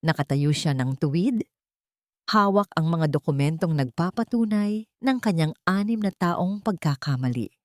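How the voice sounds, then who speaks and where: A woman's voice: lowish voice, expressive, moderate pace, darkish tone, no room sound, clean studio sound. A woman with a Filipino accent narrating a scripted drama in a solemn, theatrical manner. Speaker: story narrator.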